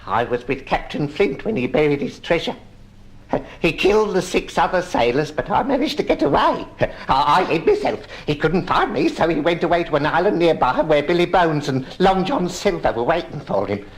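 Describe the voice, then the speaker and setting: An actor playing an old marooned sailor, half-crazed by solitude, halting and repetitive, in a children's audio drama. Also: male voice, fairly high pitch, sing-song, rough recording. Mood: recounting, haunted.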